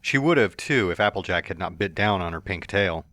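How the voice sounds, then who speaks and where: A man's voice: low-pitched, expressive, a little fast, fairly bright tone, no room sound. A man reading a fan story aloud as narrator, with playful, smug first-person asides.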